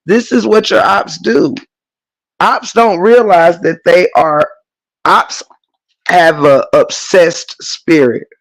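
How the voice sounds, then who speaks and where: Hoarse, profane man ranting on a livestream. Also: high-pitched, slow, poor audio. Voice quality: profane, emphatic ranting with repetition. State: hoarse, losing voice; congested. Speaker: livestream host. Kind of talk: casual livestream talk, reading chat.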